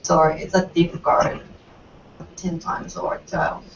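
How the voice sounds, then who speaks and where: A woman whose first language is Japanese answers a panel question in halting English, laughing between points. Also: lowish voice, little pitch movement, gravelly, a little hiss or hum. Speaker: student panelist. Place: conference room. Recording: echoey, distant, dull room audio.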